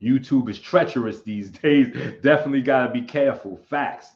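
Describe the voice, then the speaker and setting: A man hosting his own livestream in a relaxed, rambling way. He chuckles often and reads chat comments aloud. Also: mid-range voice, a little fast. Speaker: channel host. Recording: slightly dull lo-fi sound.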